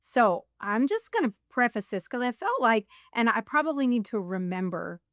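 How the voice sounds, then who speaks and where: A woman's voice: fairly high pitch, sing-song, moderate pace, a bit bright, dry recording, clean studio sound. Chatty woman in her sixties. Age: 60s. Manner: rambling, self-deprecating, laughs often. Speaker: guest. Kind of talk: casual faith conversation.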